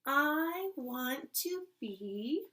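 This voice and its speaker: A woman voicing a restless young male bunny from a storybook, speaking in emphatic, drawn-out declarations. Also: sits high, lively intonation, sharp tone, reverberant, exaggerated voice. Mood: insistent, yearning. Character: restless young male bunny, discontented, wishing to be other animals.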